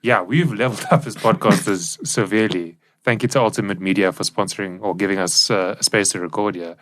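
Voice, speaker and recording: A man's voice: lowish voice, a little fast, a bit bright. A South African man co-hosting a podcast, explaining things in a casual, informal discussion style on a studio microphone. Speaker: podcast co-host. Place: professional recording studio.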